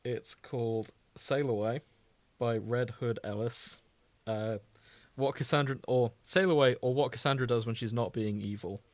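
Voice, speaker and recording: A man's voice: mid-range voice, moderate pace, no echo. Man in dry-sounding, jokey podcast chat. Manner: jokey, wry. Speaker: podcast co-host. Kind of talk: casual chat.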